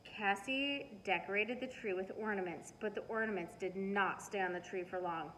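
A middle-aged woman reading a children's storybook aloud with expressive, emphatic storytelling. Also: mid-range voice, normal speed. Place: living room, indoors. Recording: noisy living room recording.

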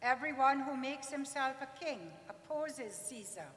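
A woman's voice: high-pitched, lively intonation, a little slow, fairly bright tone. A woman playing an accusing crowd that demands an execution in a scripture reading, insistent and declamatory. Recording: distant echoey hall, long reverb.

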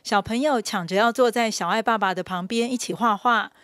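A woman reporter reads scripted news narration in a rather high voice. Her Mandarin has a Taiwanese accent. The recording is close and dry. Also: a little fast, fairly bright tone, no echo.

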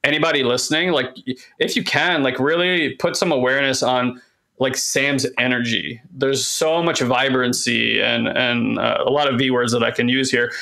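A man co-hosting a podcast in casual conversation, with a monotone, bassy voice, rambling enthusiastically and swearing casually. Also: normal speed, creaky. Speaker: podcast co-host.

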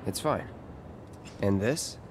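An actor voicing a stoic young mercenary in a video game, speaking in a clipped, flat way. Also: male voice, fairly low pitch, slow pace, a little hiss or hum. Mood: aloof. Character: stoic young male mercenary, terse and aloof.

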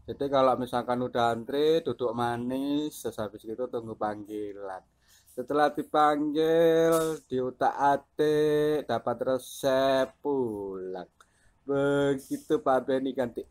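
Man, unhurried pace, medium pitch, casual radio chat. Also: clean tone. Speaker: amateur CB radio operator.

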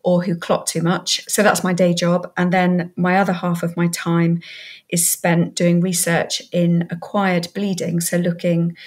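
A British woman gives a measured expert explanation as an interview guest. Her voice sits fairly low. The intonation stays very even, with little rise or fall. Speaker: guest expert, hematologist and researcher.

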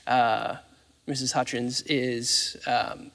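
A man's voice: mid-range voice, little pitch movement, slow, gravelly, a bit bright. A man in his thirties explaining things informally as an interviewee on a theatre stage. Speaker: interviewee, theatre facilities manager. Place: large dark theatre stage, indoors. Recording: echoey hall reverb.

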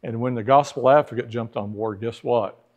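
A man's voice: medium pitch, steady tone, moderate pace, narrow, tinny audio. A middle-aged man teaching a church history class in a church classroom, talking conversationally, putting questions to the class and acting out the people he quotes.

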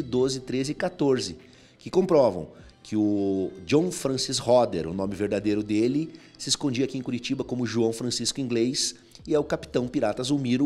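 A man's voice: mid-range voice, a little mellow. Male researcher, forties, explanatory interview on handheld mic, mid-range voice. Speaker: historical researcher. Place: small indoor restaurant room. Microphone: handheld microphone.

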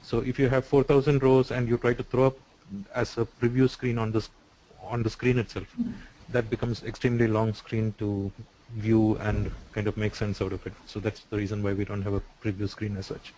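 A man on a video call who explains software features step by step, with frequent "uh" and "so". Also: on the low side, level tone, brisk, a little hiss or hum, rough recording. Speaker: software developer answering questions.

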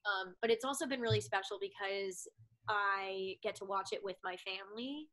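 A woman's voice: medium pitch, normal speed, a bit bright, low-quality recording. A young woman from Massachusetts with a Boston accent, chatting casually as an interview guest from home, rambling with lots of "like" and "um". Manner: rambling, heavy use of "like" and "um". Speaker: actress guest.

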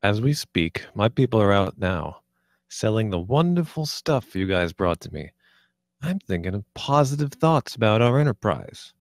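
A man's voice: on the low side, very animated, normal speed, no echo, studio quality. A man reading the part of a cocky, menacing, sarcastic young drug dealer in a screenplay table read. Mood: smug. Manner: sarcastic, foul-mouthed, taunting.

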